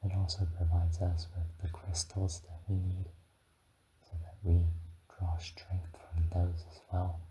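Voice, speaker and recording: A man voicing a gentle young dragon guide in a soft, often whispered ASMR guided meditation, speaking soothingly. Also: low voice, a little hiss or hum, poor audio. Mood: calm, musing. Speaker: ASMR performer.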